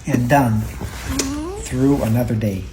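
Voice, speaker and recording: A parent reading a children's Bible story aloud at home, gently and a little haltingly, repeating words now and then. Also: medium pitch. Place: indoors at home. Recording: home recording with faint background noise.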